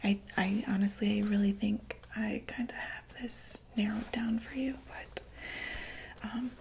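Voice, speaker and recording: A woman whispering softly and breathily in a gentle, reassuring ASMR medical roleplay, speaking close to the microphone. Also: medium pitch, fairly even intonation, unhurried, gravelly, slight background noise. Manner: gentle, reassuring, hesitant check-up patter. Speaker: ASMR roleplayer playing a doctor for monsters.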